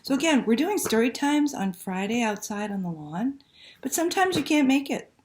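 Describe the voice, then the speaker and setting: A woman's voice: a little high, big pitch swings, moderate pace, darkish tone, slight background noise. A woman hosting a library story time, reading aloud and talking to young children with simple questions and prompts.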